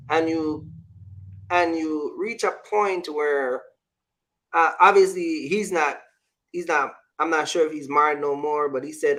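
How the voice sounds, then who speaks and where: Male talk host, fairly high pitch, informal and opinionated, circles back over phrases. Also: fairly bright tone, low-quality recording. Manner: repeats phrases, checks understanding often. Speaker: show host. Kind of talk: casual opinionated discussion.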